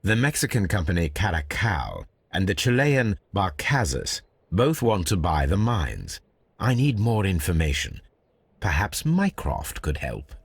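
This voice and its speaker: An actor playing a brilliant, aloof gentleman detective in a video game, with a British accent and a precise, measured, analytical delivery. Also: sits low, sing-song, vocal fry, a little hiss or hum, heavily acted. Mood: deducing. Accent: British English. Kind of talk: video game character acting.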